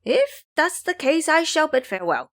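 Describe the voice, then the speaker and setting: Woman voicing a brash, high-pitched monkey hero. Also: very animated, moderate pace, gravelly, a bit bright, heavily acted. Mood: brash, indignant. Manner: emphatic, formal storybook speech. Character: brash, excitable male monkey disciple, cheeky yet pleading.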